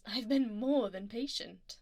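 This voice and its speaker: A woman's voice: on the high side, unhurried, a little mellow, dry recording. A woman playing a haughty, doting vampire duchess in an intimate ASMR roleplay, imperious and refined, often slipping toward a whisper. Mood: curt, commanding. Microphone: close binaural microphone.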